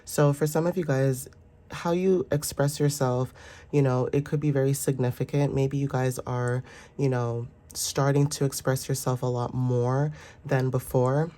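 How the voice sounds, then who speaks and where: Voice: mid-range voice, fairly even intonation, a little slow, dark tone. Congested, stuffy-voiced tarot reader. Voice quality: stuffy, nasal. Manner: rambling and reassuring, many fillers. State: congested from a sinus infection. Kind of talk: casual tarot reading for viewers.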